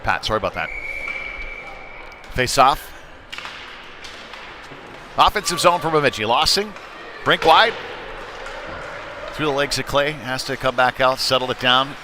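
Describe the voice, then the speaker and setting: A man gives live hockey play-by-play over clear rink noise, at an ordinary mid pitch. His delivery is conversational and halting, with uhs scattered through the calls as he follows the puck. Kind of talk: live sports play-by-play commentary. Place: indoor ice rink. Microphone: wired broadcast microphone.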